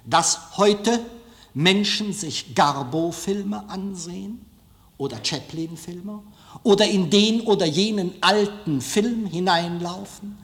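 Male lecturer, fairly high voice, very measured and emphatic, speaking in a reverberant hall. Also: fairly bright tone. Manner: emphatic, deliberate phrasing with rhetorical repetition. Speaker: filmmaker giving a lecture. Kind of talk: public speech, declamatory lecture.

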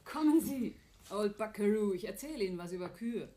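Woman acting a brisk, teasing, sharp-witted woman. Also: mid-range voice, animated, slight background noise, natural voice. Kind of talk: German radio play acting.

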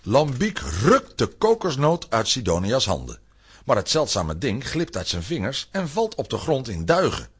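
A man with a husky voice narrating a scripted children's radio play as an animated storyteller. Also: medium pitch, normal speed.

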